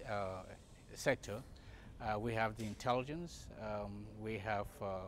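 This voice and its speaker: A man's voice: mid-range voice, a little hiss or hum. A man from Cameroon answering interview questions at a conference, with frequent fillers and restarts. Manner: hesitant, many fillers and restarts. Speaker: congress participant, medical company CEO. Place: conference event.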